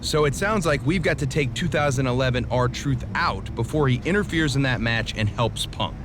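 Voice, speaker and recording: A man acting as a weary, serious pro wrestler in a video game cutscene. His mid-pitched voice is dry, measured and exasperated. Clear ambient noise sits behind him. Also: expressive, lightly acted. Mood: dry, resolved.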